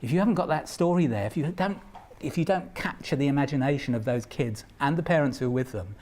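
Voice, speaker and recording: A man's voice: fairly high pitch, expressive, fast, a little mellow, a little hiss or hum. A man giving an explanatory interview, speaking emphatically and repeating phrases for stress. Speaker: pantomime commentator.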